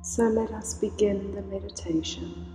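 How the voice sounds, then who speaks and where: A woman leading a guided meditation in a slow, soothing, invocational way. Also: medium pitch, steady tone. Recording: roomy reverb with soft music.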